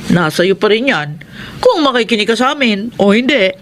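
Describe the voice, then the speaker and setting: A woman's voice: mid-range voice, expressive, moderate pace, heavy background noise, close to an everyday voice. A woman playing a frank, nagging older sister in a Filipino radio drama, blunt and teasing, with a Filipino accent. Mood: scolding.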